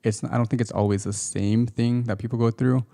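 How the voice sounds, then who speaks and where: A man co-hosting a podcast who talks casually in a calm, reflective way. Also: mid-range voice, a little fast, gravelly. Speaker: podcast co-host. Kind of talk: casual chat.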